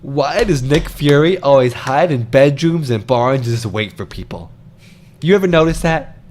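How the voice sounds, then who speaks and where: A young man, co-hosting a casual movie review in a jokey, excitable way with plenty of rhetorical questions. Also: medium pitch, normal speed, a little hiss or hum. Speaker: film review co-host. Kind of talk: casual unscripted movie chat.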